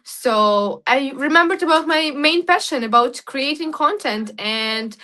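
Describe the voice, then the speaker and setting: Young woman from Ukraine, bright forceful voice, eager interview guest, rushes and restarts. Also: sits high. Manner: excited, frequent restarts and laughs. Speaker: guest, content creator. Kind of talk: casual interview talk.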